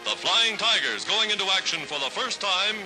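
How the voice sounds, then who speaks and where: A man's voice: sits high, very animated, normal speed, noisy background. An American man narrating a 1940s wartime newsreel in a dramatic, declamatory, patriotic announcer style, on a vintage soundtrack.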